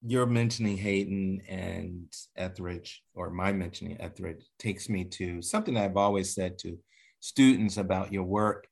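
A man's voice: deep voice, moderate pace, creaky, husky. A man interviewing a writer, asking a thoughtful question about how music shaped his ear. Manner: thoughtful, encouraging backchannels, careful phrasing. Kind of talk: interview conversation.

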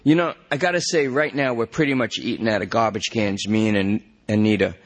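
A man's voice: on the low side, brisk. A man doing a whiny, rambling junkie. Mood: pleading. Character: jittery rambling male junkie under arrest.

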